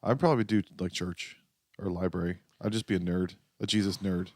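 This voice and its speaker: A man's voice: deep voice, fairly even intonation, brisk. A man doing casual comic banter, riffing wryly in short quips like a comedian among friends. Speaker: comedian, podcast co-host.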